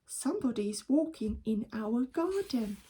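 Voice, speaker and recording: A woman's voice: a little high, lively intonation, moderate pace, mellow, breathy edge. A woman voicing a wide-eyed young boy in a children's story, with a childlike, exclaiming delivery. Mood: excited.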